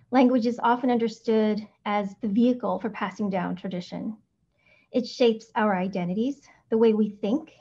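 A woman in her thirties who grew up in the Philippines, with Tagalog as her first language, reading a prepared conference paper in a measured, deliberate way over a video call from home. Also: on the high side, dark tone. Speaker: academic speaker. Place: home room, indoors. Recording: slightly dull video call audio.

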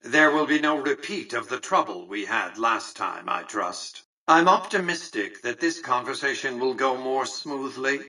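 A man playing an aged, imperious industrialist in a video game, speaking formally and condescendingly with precise, measured diction. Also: medium pitch, expressive, bright, forceful tone, distant mic, full character voice, low-quality recording. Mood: cool, condescending. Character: aged, shrewd, imperious industrialist tycoon ruling a casino city. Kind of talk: video game character dialogue.

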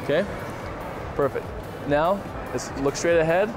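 A man's voice: a little high, unhurried, crowd noise. A man in his thirties coaching people through simple tests and explaining them in an encouraging way, recorded on a lapel mic in a shopping mall. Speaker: TV host and doctor. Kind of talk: instructing and explaining to participants. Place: busy indoor shopping mall. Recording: background music and public bustle.

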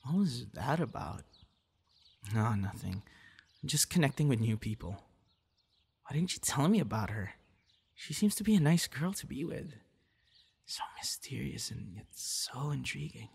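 A man's voice: medium pitch, a little mellow, full character voice. Man acting a friendly, coaxing young guy. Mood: puzzled, subdued. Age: young adult. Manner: earnest, coaxing, sighs and breathy reactions. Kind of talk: ASMR audio roleplay, one-sided dialogue to listener.

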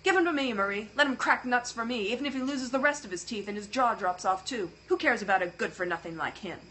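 A woman's voice: fairly high pitch, fast, pushed and bright, a little hiss or hum. A woman reading aloud, voicing a bossy, stubborn young boy who talks scornfully. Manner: scornful, demanding.

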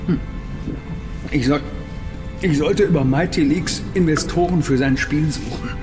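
An actor in a German radio drama playing a nervous, evasive, self-important captive who stammers and repeats himself. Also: male voice, on the high side, unhurried, music in the background, close to an everyday voice. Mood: reluctant confessing.

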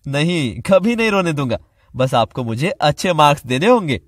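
Man playing a highish-voiced caring young student. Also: sing-song, moderate pace, dry recording, studio quality. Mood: tender. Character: young male student in love, caring, playful.

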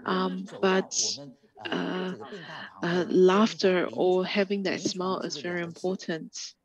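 A woman giving a live English interpretation of a talk, halting often with ums, uhs and restarts. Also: on the low side, level tone, lo-fi sound. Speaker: simultaneous interpreter. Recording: second voice speaking faintly underneath.

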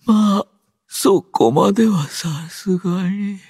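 Slow, drowsy young android soldier. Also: male voice, high-pitched, a little mellow, slightly rough, dry recording, clean studio sound, full character voice. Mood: drowsy, nonchalant. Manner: languid, understated, sleepy delivery. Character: drowsy, low-energy young male android soldier, aloof yet gentle. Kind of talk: Japanese anime game character voice lines.

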